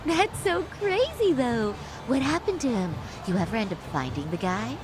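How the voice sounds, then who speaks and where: A woman's voice: fairly high pitch, very animated, normal speed, music in the background. Coy young woman with swooping intonation. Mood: probing, curious. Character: young woman posing as a coy nightlife girl out for thrills. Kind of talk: English video game dub acting.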